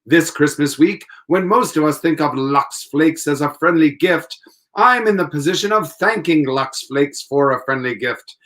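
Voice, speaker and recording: A man's voice: on the high side, measured pace, a bit bright. A man hosting as a radio announcer, reading a formal scripted introduction in showman-like 1940s style.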